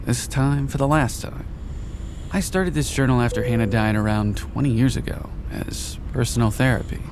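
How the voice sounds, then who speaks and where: A man's voice: medium pitch, normal speed, background noise, natural voice. An actor playing a tender, devoted middle-aged family man, speaking in a sincere, reflective and lightly humorous way. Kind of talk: heartfelt voice acting, journal narration. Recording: voice over background music.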